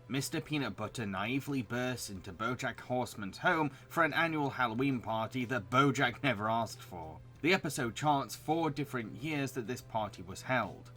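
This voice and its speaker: A man's voice: medium pitch, expressive, normal speed, few pauses, sharp tone, soft background music. A man reading scripted narration as a video reviewer.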